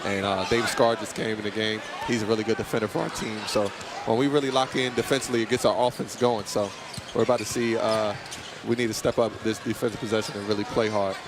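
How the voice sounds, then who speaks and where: A man's voice: fairly low pitch, fairly even intonation, fast. A young man, an injured college basketball player, giving an earnest courtside interview and saying "you know" a lot. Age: young adult. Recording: loud arena crowd noise. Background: crowd and game noise.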